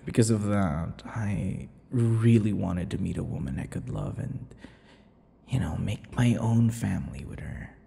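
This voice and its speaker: A brooding, unhinged vampire, acted by a man for an intimate audio roleplay. He speaks low and very slowly in halting, seductive phrases that sink into breathy whispers. Long reverb hangs around every word. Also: full character voice. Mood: wistful, hurt. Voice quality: breathy, gravelly, often dropping to a whisper. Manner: slow, halting, dramatic pauses and dark chuckles. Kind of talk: intimate ASMR roleplay monologue.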